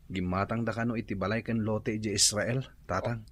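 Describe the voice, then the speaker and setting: An actor playing a respectful adult son who worries about the family farmland in a radio drama. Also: male voice, lowish voice, fairly even intonation, brisk, a bit bright, breathy edge. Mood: worried. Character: dutiful adult son, anxious.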